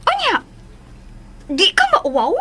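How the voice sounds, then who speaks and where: Pushy jealous young woman, radio drama, super high animated voice, bit of music behind. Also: slow, full character voice. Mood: confrontational. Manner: pushy, confrontational. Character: possessive, demanding young woman, jealous other lover.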